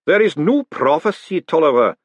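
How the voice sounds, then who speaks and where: A male reader plays an old lodge elder in a fantasy audiobook. His voice is raised in pitch and lively, rambling on in a colloquial, kindly but resigned way. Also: normal speed, no echo, highs cut off. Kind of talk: audiobook character voice.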